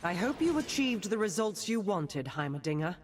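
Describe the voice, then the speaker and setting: An actress with a British accent playing a poised, dutiful police detective and sharpshooter in a video game, speaking in a crisp, formal, commanding way. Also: female voice, on the low side, big pitch swings, normal speed. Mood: grave, formal. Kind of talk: video game champion voice lines.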